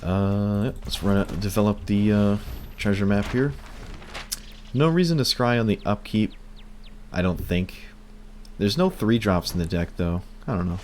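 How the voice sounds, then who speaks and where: A man streaming a card game, talking through his plays in casual commentary, hoarse and losing his voice, wry and muttering at bad luck. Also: on the low side, animated, normal speed, a little mellow, slight background noise. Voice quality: breaking, cracking voice.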